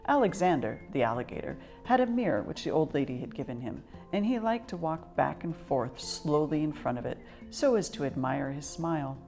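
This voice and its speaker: Low-voiced woman reading a children's story. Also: animated, a little fast, hardly any pauses, darkish tone, soft background music. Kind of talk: children's picture book read-aloud.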